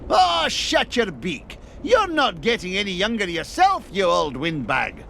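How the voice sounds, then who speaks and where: A smug, cheating rival tycoon duck who sneers with pride. His bright, sharp voice swoops wildly, and he draws out his words slowly. Light music sits behind him. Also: sits high, huge pitch swings, gravelly, a little hiss or hum, exaggerated voice. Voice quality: sneering, boastful. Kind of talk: video game cutscene acting.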